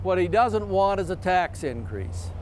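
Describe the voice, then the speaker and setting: High-pitched male TV news reporter. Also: very animated, measured pace, a little hiss or hum. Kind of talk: news report, live and scripted.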